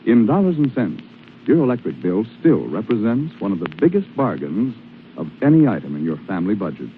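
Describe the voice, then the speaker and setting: A man's voice: fairly low pitch, big pitch swings, a little fast. A man working as a polished 1940s radio announcer, reading scripted commercial copy in a salesmanlike way. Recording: noisy narrow-band 1940s radio recording.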